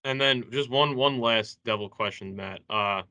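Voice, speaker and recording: A man with a bright, crisp-edged voice at an average pitch hosts a casual sports interview. He works toward each question haltingly, doubling back and repeating phrases. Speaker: sports podcast host.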